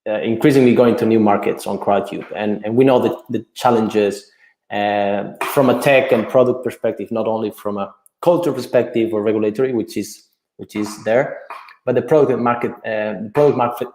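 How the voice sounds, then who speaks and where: A man's voice: medium pitch, a little mellow, echoey room. A man acting as guest host in a webinar, asking long, rambling questions with many repeated words.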